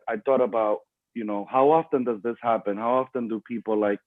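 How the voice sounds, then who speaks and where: A man's voice: on the low side, no echo. A middle-aged man from the Bronx, speaking as a guest on a video call, talking casually with many fillers and restarts. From Bronx, New York. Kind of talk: casual online talk. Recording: tinny dry phone-like line.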